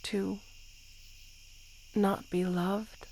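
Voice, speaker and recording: Woman acting a slow, possessive lamia queen. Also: medium pitch, level tone, a little mellow, slight background noise. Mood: vulnerable, pleading. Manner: slow, tender, sighing, possessive delivery. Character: possessive, lovesick yandere lamia queen, half snake. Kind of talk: intimate roleplay ASMR acting.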